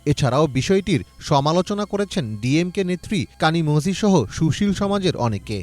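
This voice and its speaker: Man, Bangladeshi accent, news voice-over, animated intonation, slightly high voice, music underneath. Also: a little fast. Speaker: news reporter. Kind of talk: scripted news voice-over narration.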